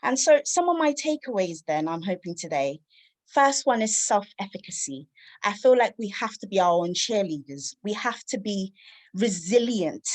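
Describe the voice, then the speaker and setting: A woman's voice: mid-range voice, lively intonation, moderate pace. A woman, giving an upbeat, candid personal talk over a video call with frequent ums. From London. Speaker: lightning talk speaker, computer science teacher.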